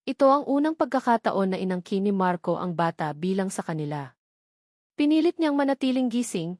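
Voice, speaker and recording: A woman's voice: medium pitch, a little mellow, dry recording, studio quality. A woman storyteller reading a scripted dramatic story as narration.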